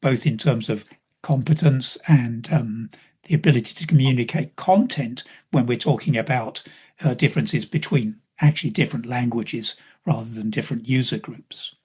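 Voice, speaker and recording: A British man with a slightly husky voice answers in an academic discussion. His pitch is mid-range, and he phrases things in a measured, hesitant way, pausing often as he builds each point. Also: a little mellow. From Britain. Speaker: symposium speaker.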